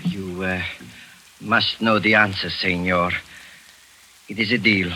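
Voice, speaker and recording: An oily little schemer. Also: male voice, low voice, fairly even intonation, slow, pushed and bright, full character voice, low-quality recording. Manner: oily, ingratiating, mocking. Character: Mosca, small sly scheming middle-aged man. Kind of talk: 1940s radio acting. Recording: old radio broadcast with faint hiss.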